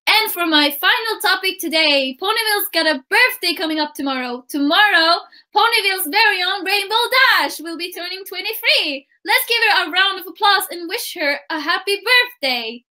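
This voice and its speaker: A woman's voice: very high-pitched, lively intonation, normal speed, hardly any pauses, pushed and bright, exaggerated voice. A woman voicing a cheerful female pony radio news host in an audio story reading. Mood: cheery. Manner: upbeat broadcast delivery.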